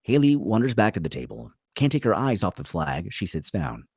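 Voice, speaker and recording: A man's voice: fairly low pitch, sing-song, normal speed, vocal fry, a little mellow, breathy edge, no echo, clean studio sound. A man narrating screenplay stage directions dramatically at a table read.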